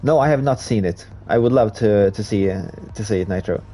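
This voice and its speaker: A man's voice: fairly low pitch, a little fast, warm tone, faint music behind. A man from Sweden, English not his first language, chatting casually to viewers and reading comments while he races on a live stream. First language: Swedish. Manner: chatty, laughs at himself, reads chat aloud.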